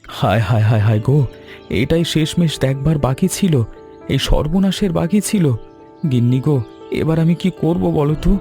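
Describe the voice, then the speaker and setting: A man's voice: fairly high pitch, moderate pace, dark tone, soft background music, natural voice. A man playing an anxious, humble village weaver in a cartoon story, with a plaintive, earnest delivery. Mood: wailing despair.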